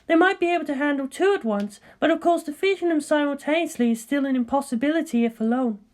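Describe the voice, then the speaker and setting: A woman voicing a composed, analytical female scientist in a story, measured, formal and sceptical. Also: sits high, pitched above the performer's own voice, natural voice.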